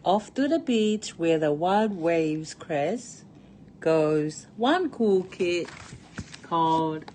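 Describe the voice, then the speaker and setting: A woman's voice: lowish voice, lively intonation, drawn-out words, warm tone, a little hiss or hum. A woman reading a picture book aloud to children in a sing-song storybook cadence. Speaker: storytime reader.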